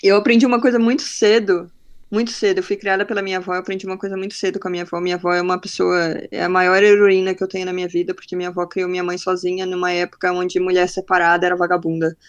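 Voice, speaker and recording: A woman's voice: on the low side, very fast. Frank woman telling stories on a podcast. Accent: faint northern Brazilian Portuguese. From northern Brazil. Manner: frank, animated storyteller acting out quoted dialogue. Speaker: podcast guest, marketing professional. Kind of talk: casual interview conversation.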